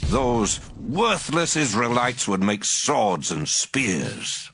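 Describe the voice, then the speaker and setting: A contemptuous enemy sneers at his rivals in an audio Bible drama. The voice is raspy and forceful, drawn out very slowly. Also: mid-range voice, very animated, full character voice. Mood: scornful. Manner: slow, contemptuous.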